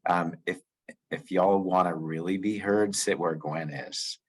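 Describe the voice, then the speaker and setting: Brief: man on video call, deep flat husky voice, easygoing. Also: measured pace. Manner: relaxed, easygoing, candid. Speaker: board member joining remotely. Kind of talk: casual remarks over a video call.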